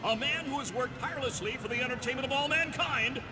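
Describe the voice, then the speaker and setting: An actor playing a bombastic arena announcer in a video game, a showman introducing with theatrical, drawn-out emphasis. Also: very high voice, recorded from a distance. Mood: grandiose. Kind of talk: grand event announcing. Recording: echoing arena PA, loud music.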